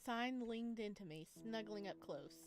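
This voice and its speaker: A woman's voice: mid-range voice, a little slow. A woman doing playful let's-play commentary on a visual novel, reading narration aloud and teasingly talking back to the characters. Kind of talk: playful reactive game commentary mixed with read-aloud narration. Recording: music behind the voice. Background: game music.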